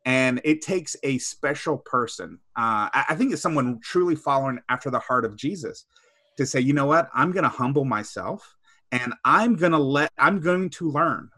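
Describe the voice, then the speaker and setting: A man talking in a casual discussion, his voice bright and forceful. He tells stories with many asides, recorded dry and close. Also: medium pitch, expressive, moderate pace, no echo. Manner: conversational, storytelling with asides. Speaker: podcast co-host.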